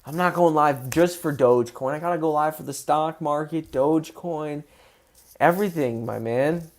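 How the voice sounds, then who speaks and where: A man hosting a casual livestream, excitable and answering viewers' chat questions as he goes. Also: on the high side, moderate pace. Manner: excitable, voice rises when passionate.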